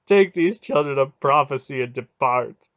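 Huge angry grieving god for an audiobook, booming archaic threats, gravelly, kinda muffled audio. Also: male voice, takes it slowly, dry recording. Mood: bitter grief. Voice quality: loud, roaring, howling. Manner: archaic, grandiose, menacing speech. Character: vast male weeping god, wrathful, grieving, vengeful.

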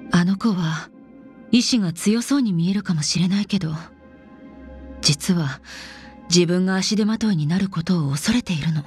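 An actress voicing a gentle, caring, mature woman in a game, soothing and concerned. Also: fairly low pitch, moderate pace, faint music behind, lightly acted. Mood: concerned, tender. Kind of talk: scripted game character voice lines.

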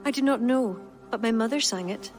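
An actress with an Irish accent playing a devout, steadfast young pagan court poet in a dramatic game cutscene. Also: female voice, on the high side, brisk, lightly acted. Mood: solemn conviction. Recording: loud background music under dialogue.

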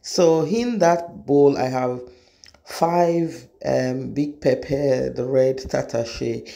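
A woman's voice: a bass voice, drawn-out words, vocal fry, dark tone. A middle-aged woman hosting a home cooking demonstration, explaining each step to viewers in her kitchen. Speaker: cooking show host.

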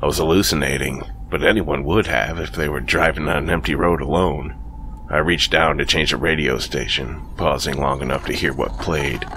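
A man narrating a first-person horror story in a slow, brooding scripted read. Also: rumbling low, fairly even intonation, background noise.

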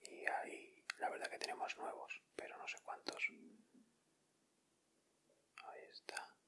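A man's voice: unhurried, bright, forceful tone. Whispering man with a Spain Spanish accent. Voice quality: breathy unvoiced whisper. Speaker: ASMR video host. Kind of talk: whispered ASMR, casual commentary. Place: indoors at a table.

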